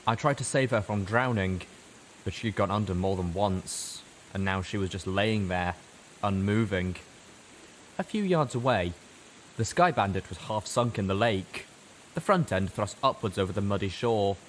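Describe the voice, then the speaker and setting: A man narrating first-person fiction dramatically, with weighty delivery and deliberate pauses. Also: on the low side, expressive, rough recording. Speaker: story narrator. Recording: faint background hiss.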